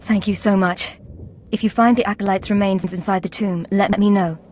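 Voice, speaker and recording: A woman's voice: medium pitch, steady tone, darkish tone, a little hiss or hum, lightly acted. A woman playing a timid, hesitant academy girl. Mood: pleading. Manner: hesitant, pleading, with halting restarts. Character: timid, earnest young woman at a Sith academy. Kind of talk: video game voice acting.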